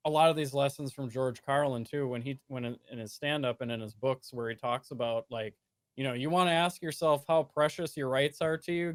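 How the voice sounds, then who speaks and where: A man's voice: mid-range voice, dry recording. A man in his late twenties from the United States, talking earnestly in a casual conversation as a streamer guest, with many restarts and fillers. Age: 20s.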